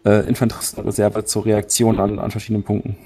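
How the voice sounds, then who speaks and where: A man's voice: fairly low pitch, completely flat delivery, fast, dark tone. A man talking casually as a player planning tactics in a tabletop game.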